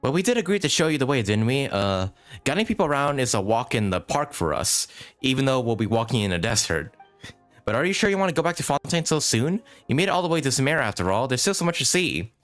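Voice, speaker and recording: A man's voice: mid-range voice, sing-song, quick pace, a bit bright, faint music behind. A man reading game dialogue aloud as a boastful, eager little female fairy tour guide. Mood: eager, persuasive. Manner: eager, self-important, talks in third person.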